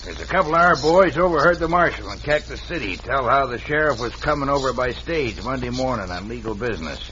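An impatient outlaw boss in an old Western radio play. His voice is forceful and bright. The recording is dull, with a faint hiss. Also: medium pitch, animated, fairly quick. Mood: scheming. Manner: bossy, impatient. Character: gruff male outlaw ambushing a stagecoach. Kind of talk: 1950s radio drama acting.

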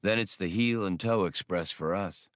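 A man's voice: low-pitched, normal speed, a bit bright, no room sound, studio quality. A man voicing a genial, practical old adventurer in an audiobook, easygoing and conciliatory. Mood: resigned.

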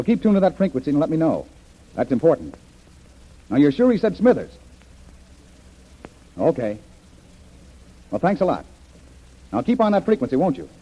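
An actor playing a confident, decisive adventure hero in an old-time radio drama, earnest and brisk. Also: male voice, fairly high pitch, breathy edge, full character voice. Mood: urgent, alert. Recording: dull, band-limited vintage radio recording.

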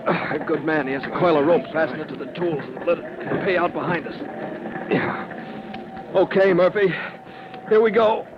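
A man's voice: on the high side, moderate pace, lightly acted. An actor playing an earnest, determined young rookie fireman in an old radio drama, exclaiming with effort. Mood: effortful, reassuring. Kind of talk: old-time radio acting. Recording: muffled, noisy vintage radio recording.

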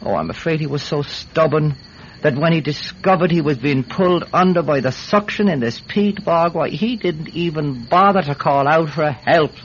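A man's voice: medium pitch, big pitch swings, unhurried, a bit bright. An actor playing a talkative, wily Irish villager who stammers deferentially as he pleads his innocence in a radio drama. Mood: mock regretful. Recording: muffled, slightly noisy old-style radio recording.

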